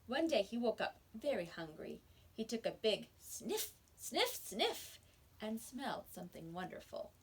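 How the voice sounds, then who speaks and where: A woman teacher reading a picture book aloud to young children with expressive storytelling and dramatic pauses. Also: medium pitch, slight background noise, low-quality recording. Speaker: kindergarten teacher.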